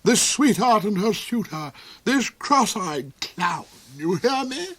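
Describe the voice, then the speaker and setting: A man's voice: sits high, big pitch swings, takes it slowly, a bit bright, voice pushed up, exaggerated voice. A man voicing a cruel, cold, ranting old duke, shrieking, snarling and cackling in an audiobook reading. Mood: menacing. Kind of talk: audiobook character voice.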